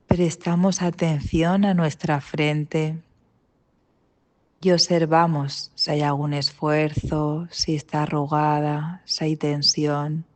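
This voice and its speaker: A woman with an accent from Spain leading a guided meditation, calm and gentle, with long pauses between short instructions. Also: deep voice. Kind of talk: guided mindfulness body scan meditation.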